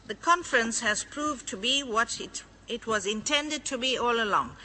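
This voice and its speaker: A woman's voice: a little high, animated, normal speed, sharp tone, a little hiss or hum. A woman giving a formal scripted statement.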